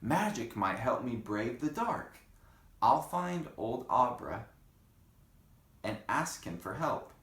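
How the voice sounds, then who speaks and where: A man voicing a timid little creature afraid of the dark. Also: medium pitch, sing-song, slow pace, long pauses, reverberant, pitched above the performer's own voice. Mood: worried.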